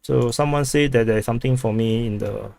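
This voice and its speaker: A man's voice: mid-range voice, brisk, creaky, darkish tone, no echo. Singaporean man chatting on a dry livestream. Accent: Singaporean English. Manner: rambling, many restarts and fillers, reading chat aloud. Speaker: livestream host. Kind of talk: casual livestream chat.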